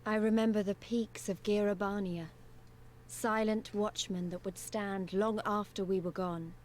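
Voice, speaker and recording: A woman's voice: mid-range voice, steady tone, unhurried, darkish tone, close to an everyday voice. An actress playing a solemn woman narrator in a fantasy game, delivering reflective, scripted dramatic lines. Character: solemn woman narrator recalling war and hardship. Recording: game cutscene with faint background noise.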